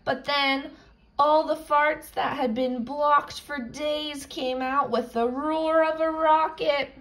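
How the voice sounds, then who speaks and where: A woman reading a children's picture book aloud, with expressive, suspenseful storytelling. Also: high voice, slow pace, rough recording. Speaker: storybook reader.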